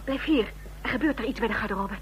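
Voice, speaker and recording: An actress playing the anxious, sharp-witted companion of a secret agent in a radio drama. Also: female voice, on the high side, quick pace, over music, close to an everyday voice. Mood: alarmed. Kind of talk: Dutch radio drama acting. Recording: noisy radio drama recording with hum.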